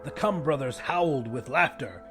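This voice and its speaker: A man's voice: mid-range voice, expressive, normal speed, over music. A man narrating first-person fiction as a dramatic audiobook reader.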